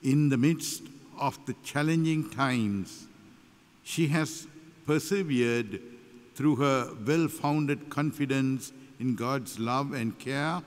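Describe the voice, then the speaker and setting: A man in his sixties with a Sri Lankan accent, a bishop giving a deliberate, formal church address at a lectern. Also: medium pitch. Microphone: gooseneck lectern microphone. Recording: echoey hall, long reverb.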